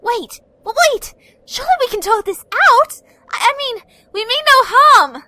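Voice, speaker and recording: Lady doing sweet earnest young pony girl, super high bright voice, polite, audio drama. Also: expressive, a little slow, no echo, exaggerated voice. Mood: pleading, alarmed. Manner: pleading, polite.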